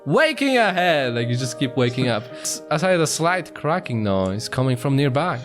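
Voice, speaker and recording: Guy streaming a visual novel readthrough, very expressive, trips on words, bit of music behind him. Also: medium pitch, normal speed. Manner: expressive, stumbles over words. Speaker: streamer, narrator. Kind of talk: reading visual novel narration aloud.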